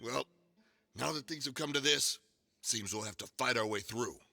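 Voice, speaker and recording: A man's voice: mid-range voice, moderate pace, creaky, sharp tone, no echo, exaggerated voice. An actor voicing a level-headed male fighter in a fantasy video game. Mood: resigned, steady.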